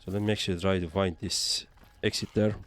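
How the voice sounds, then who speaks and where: A man's voice: low voice, steady tone, brisk, a little hiss or hum. A man from Estonia with an Estonian accent, casually commentating on a game and chatting as a livestreamer. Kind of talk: casual live gameplay commentary and chat.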